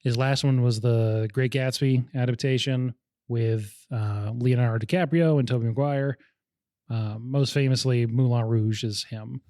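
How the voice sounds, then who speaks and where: A young man hosting a casual movie podcast, chatty and quick to laugh, keen on film history. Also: mid-range voice, fairly even intonation, mellow, dry recording, studio quality. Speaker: podcast host.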